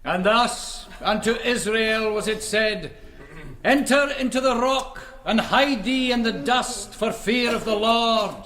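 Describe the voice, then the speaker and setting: An actor playing a righteous, defiant young Scottish Presbyterian minister in a radio drama, declaiming in biblical oratory. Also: male voice, extremely high pitch, slow pace, bright, forceful tone, exaggerated voice. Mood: stern, fervent. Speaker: preacher. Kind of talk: radio drama sermon and exhortation.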